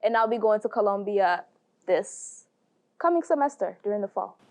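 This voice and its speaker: A woman in her twenties from Tanzania, a talk show guest who talks in an animated way and searches for her words. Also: mid-range voice, moderate pace. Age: 20s. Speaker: panel guest. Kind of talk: casual discussion.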